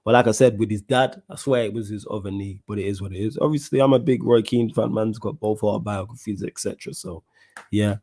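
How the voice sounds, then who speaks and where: Mancunian male podcast host, young, low-pitched, slangy and chatty with viewers. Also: fairly quick, warm tone. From Manchester, England. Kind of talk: casual solo football podcast chat.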